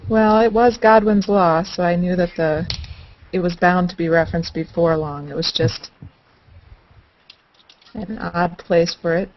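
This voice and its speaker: A woman's voice: lowish voice, steady tone, a little slow, pauses often. A woman chatting casually as a participant in an online group call, in a conversational anecdotal style. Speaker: hangout participant. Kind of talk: casual chat, telling anecdotes. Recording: muffled, noisy video call with clicks.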